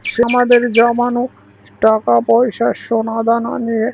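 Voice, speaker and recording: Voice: medium pitch, completely flat delivery, normal speed, gravelly, a little mellow, lightly acted. A voice actor playing a kind, trusting female songbird who talks in a reassuring, welcoming way. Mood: distressed. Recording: noisy recording.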